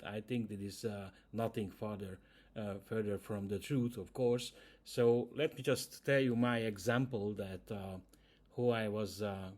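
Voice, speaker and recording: A man's voice: on the low side, darkish tone. A man from Hungary with a Hungarian accent, speaking English as a second language, talking casually to camera as host, with frequent hesitations. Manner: hesitant, many "uh" fillers, non-native grammar. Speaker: YouTube channel host.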